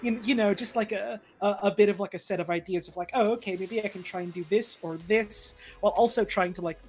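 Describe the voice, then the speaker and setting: A fandub performer chatting casually on a livestream in a halting, rambling way, animatedly quoting their own thoughts. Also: medium pitch, a little mellow, slight background noise. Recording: music playing behind the voice. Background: background music.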